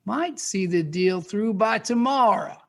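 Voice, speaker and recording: A man playing a sly, murderous outlaw gunman in a western audio drama, with an American Western drawl and gravelly, mocking delivery. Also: sits high, animated, measured pace, heavily acted. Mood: sly menace.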